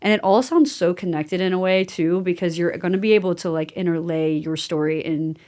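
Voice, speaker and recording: A woman's voice: fairly low pitch, brisk, warm tone. A woman podcast host chatting casually and affirmingly in a conversational interview, often dropping in "like".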